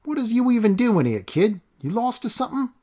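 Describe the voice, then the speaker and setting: A man's voice: extremely high pitch, big pitch swings, fairly quick, mellow, pitched above the performer's own voice, heavily acted. A man voicing a grubby, greedy male street driver who bullies a boy, in a coarse, jeering manner.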